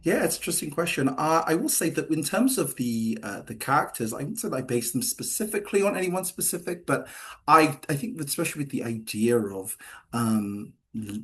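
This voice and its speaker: A man speaking as a screenwriter in a Q&A, halting and hedging as he explains, often repeating himself. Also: medium pitch, expressive. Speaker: screenwriter answering questions. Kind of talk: post-reading Q&A discussion.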